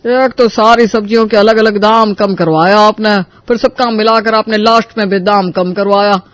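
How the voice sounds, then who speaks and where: Man acting a very high-voiced vegetable hawker. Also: steady tone, moderate pace, a bit bright, dry recording. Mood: placating. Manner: coaxing, calling out wares. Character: wheedling, persistent male market vegetable seller.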